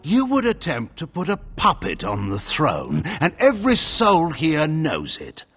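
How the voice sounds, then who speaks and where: An actor playing a proud, aging warlord regent in a fantasy game, declamatory and scornful. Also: male voice, a little high, wildly swooping pitch, a little slow, fairly bright tone, husky, faint music behind, full character voice. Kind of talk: theatrical fantasy game acting.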